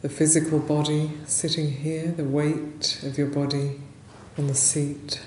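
A woman leading a guided meditation as a teacher, speaking gently and unhurriedly in short phrases with long pauses. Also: deep voice, level tone. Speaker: meditation teacher, Buddhist nun. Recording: roomy echo, faint background noise.

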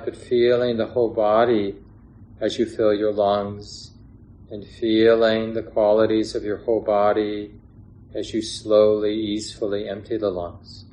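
Slow, low-voiced man guiding meditation. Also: poor audio. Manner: gentle, unhurried, pausing between short phrases. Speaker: meditation teacher. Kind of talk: guided meditation instruction, unscripted.

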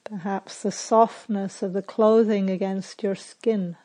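Slow, soft-spoken woman guiding meditation. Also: medium pitch, fairly even intonation. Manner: slow, soft, unhurried with long pauses. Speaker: meditation teacher. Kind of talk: guided meditation. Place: retreat center.

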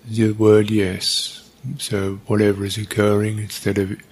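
Male meditation teacher, husky and fairly low voice, unscripted guidance with long, halting gaps. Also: monotone. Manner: unhurried, halting, with long pauses.

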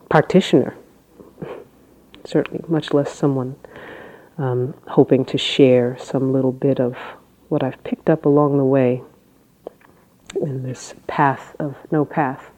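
A woman Zen teacher with a low voice, giving an unhurried dharma talk with halting phrases and long pauses. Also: fairly even intonation, warm tone, poor audio.

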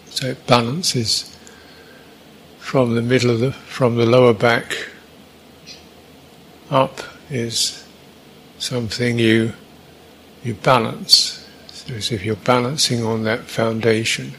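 A man teaching a guided meditation, speaking in short, halting phrases with long pauses between them. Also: mid-range voice, level tone, fairly bright tone, slight background noise.

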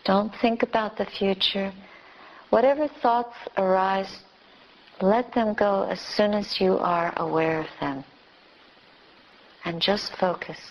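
Slow-speaking woman guiding meditation. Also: medium pitch, slight background noise. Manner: gentle instruction in short, spaced phrases. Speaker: meditation teacher. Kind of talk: guided meditation. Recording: dull, lo-fi recording.

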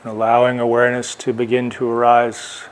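A man giving guided meditation instruction as a teacher, speaking in short, unhurried phrases with long pauses. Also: mid-range voice, completely flat delivery. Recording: clear background noise, room ambience.

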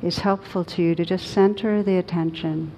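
A woman with a fairly low voice leads a guided meditation for a group. She speaks gently and without hurry, leaving long pauses between phrases. The recording has clear background noise with a low rumble. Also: steady tone, rough recording. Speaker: meditation teacher.

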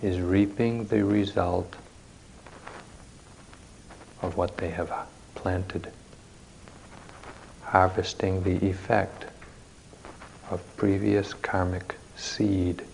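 A man leading a guided meditation as a teacher, speaking in measured short phrases with long pauses, recorded live at a retreat. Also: deep voice, little pitch movement, creaky, darkish tone, a little hiss or hum, low-quality recording.

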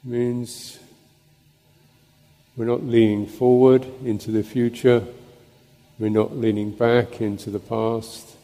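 A man teaching as a Buddhist monk, leading a guided meditation in a contemplative, halting way with pauses between short phrases. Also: medium pitch, flat delivery, mellow. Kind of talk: guided meditation and Dhamma talk. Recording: long reverberant hall echo.

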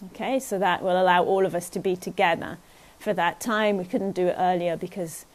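Woman, meditation teacher at a retreat, gentle and unhurried, keeps checking in with the group. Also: on the low side, steady tone, a little hiss or hum. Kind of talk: guided meditation talk at a retreat.

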